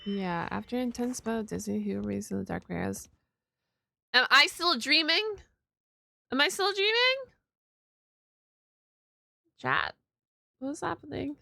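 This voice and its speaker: A woman live streamer giving casual, slangy game reactions in an excitable, exclamatory way. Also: fairly high pitch, takes it slowly, vocal fry, pauses often, dry recording, faint music behind.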